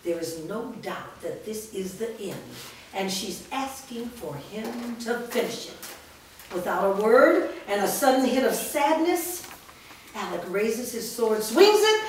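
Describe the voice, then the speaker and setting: A woman's voice: on the high side, far from the mic, a little hiss or hum. Woman reading stage directions, echoey studio. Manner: measured, descriptive. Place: large indoor rehearsal studio. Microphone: far camera mic.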